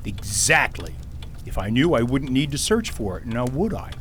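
A man's voice: mid-range voice, big pitch swings, normal speed, a bit bright, slight background noise. A man playing a pompous, eccentric storytelling king in a fantasy radio drama, with grandiose theatrical delivery. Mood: quizzical, wordy.

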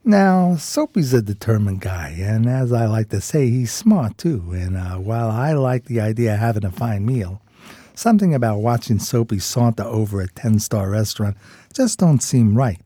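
Radio drama narrator: male actor as folksy homeless New sidekick, mellow and dry, colloquial. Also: on the low side, expressive, moderate pace, dry recording. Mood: wry storytelling. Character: streetwise homeless pal narrating in folksy slang, admiring.

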